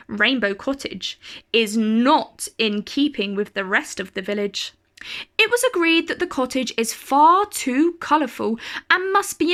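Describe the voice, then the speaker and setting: A woman reading a children's picture book aloud in an expressive, carefully phrased storytelling style. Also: a little high.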